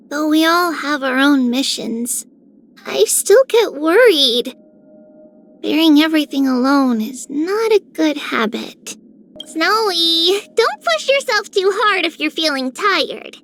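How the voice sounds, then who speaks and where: High, gentle little girl. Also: big pitch swings, slow pace, exaggerated voice. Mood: gentle worry. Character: small earnest girl, caring and gentle. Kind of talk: scripted game character voicelines.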